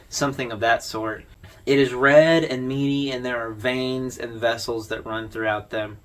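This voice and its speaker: A man hosting an informal explainer video, talking through his commentary in a conversational, wry way. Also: medium pitch. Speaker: video host.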